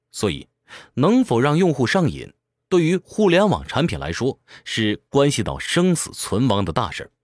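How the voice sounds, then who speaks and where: A man's voice: medium pitch, no echo, studio quality. A man presenting a scripted book summary in a conversational explainer's manner, posing rhetorical questions as he goes.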